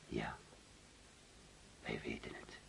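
A man's voice: slow, fairly bright tone, in a whisper. A man acting in a radio drama as a stern, grieving father, speaking in terse, controlled commands as he demands the truth. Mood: grim, bitter.

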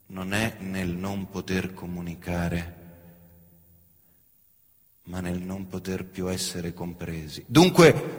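A man's voice: mid-range voice, a bit bright. Male stage actor in reverberant hall. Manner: intense, declamatory delivery. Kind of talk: theatrical solo performance of literary texts. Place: theatre stage.

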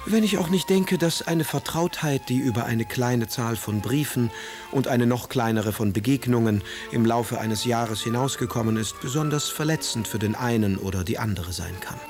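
An actor playing a passionate, melancholic and sardonic Romantic poet in a literary radio drama, with a husky voice. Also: male voice, mid-range voice, moderate pace, a little mellow, faint music behind, lightly acted. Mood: wounded, brusque. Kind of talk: literary radio drama, reading letters aloud.

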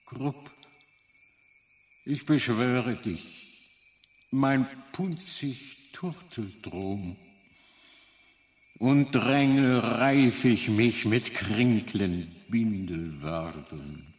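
A man's voice: mid-range voice, very slow, long pauses. An actor playing a pompous, menacing alien commander in a radio drama, declaiming his words grandly. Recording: echoey hall reverb, faint noise.

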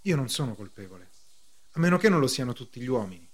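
A man's voice: medium pitch, sing-song, quick pace. An actor playing a troubled, defensive accused man in a radio play, with an earnest way of talking. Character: troubled accused bank man protesting his innocence. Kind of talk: theatrical radio drama acting.

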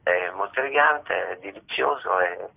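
A man's voice: low-pitched, expressive, normal speed, vocal fry, breathy edge. A man speaks as a theatre director in a radio interview, chatting in a colloquial, jokey, digressive way over a phone line.

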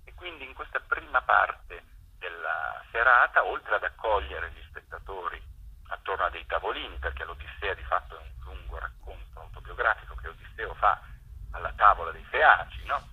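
A man explaining a project as an interview guest over a telephone line, pausing to search for words. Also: low-pitched. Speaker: theatre maker interviewed as guest. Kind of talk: radio interview, explanatory talk. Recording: tinny, rough telephone line.

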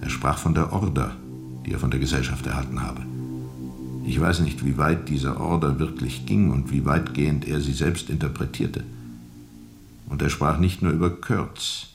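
Weathered sea captain, rock-bottom pitch, fry in the voice, grave, deliberate, brooding radio-drama storyteller. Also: male voice, over music. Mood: sombre testimony. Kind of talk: radio drama narration, recounting under questioning.

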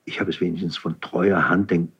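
A long-serving count in a classical radio play. His very deep, husky voice is formal, measured and slyly deferential. The recording is dull and lo-fi. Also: little pitch movement, fairly bright tone, exaggerated voice. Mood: discreet, insinuating. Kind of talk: classical German verse radio drama acting.

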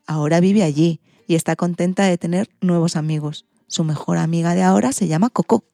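A woman's voice: on the low side, a little fast, creaky, warm tone, no room sound, clean studio sound. A woman presenting and narrating scripted stories for children. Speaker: co-host of an animal-stories programme for all ages.

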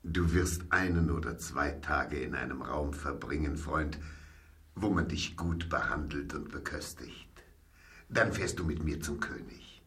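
An actor playing an unctuous older archbishop in a radio play, paternal and measured with quiet menace. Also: male voice, very deep voice, heavily acted. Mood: unctuous calm. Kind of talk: German radio play acting. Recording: distant mic, faint noise.

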